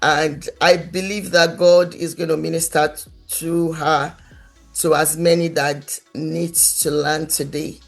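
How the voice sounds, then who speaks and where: A woman hosting a conversational interview in a gentle, encouraging way. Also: sits low, level tone, takes it slowly, gravelly, faint music behind. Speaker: interview host.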